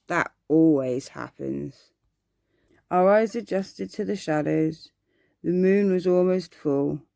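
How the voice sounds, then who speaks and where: Female ASMR storyteller, unhurried and deliberate, gravelly fry, very dry sound. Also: on the low side, dark tone, dry recording. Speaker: storyteller reading bedtime stories.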